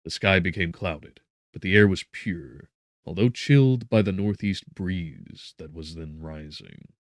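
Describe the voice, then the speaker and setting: A man narrating a gothic novel as a scripted audiobook, with measured, dramatic phrasing. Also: sits low, no room sound, studio quality.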